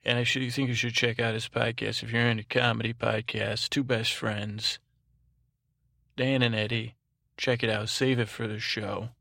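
A man's voice: medium pitch, little pitch movement, normal speed, creaky, pushed and bright, dry recording, studio quality. A man hosting a bedtime podcast, talking in a droning, meandering monologue with hesitant false starts. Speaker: podcast host.

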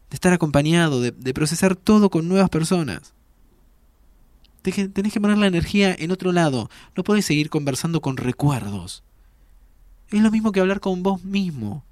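A man's voice: sits high, lively intonation, fairly quick, a little mellow, no room sound, studio quality. A man playing a playful, frank, caring 25-year-old time traveller in a naturalistic audio drama, with an Argentine accent and a teasing, informal way of talking.

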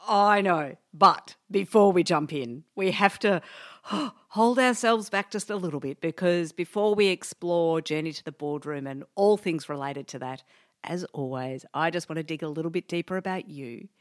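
A woman's voice: on the low side, normal speed, no room sound, clean studio sound. An Australian woman hosting a podcast interview, bubbly and enthusiastic. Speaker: podcast host.

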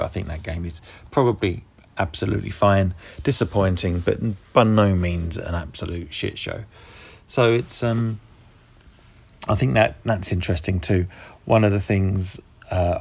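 A man hosting a podcast, talking casually about video games in a measured, thoughtful way. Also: sits low, gravelly, a little mellow, slightly rough. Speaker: podcast host. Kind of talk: casual video game discussion.

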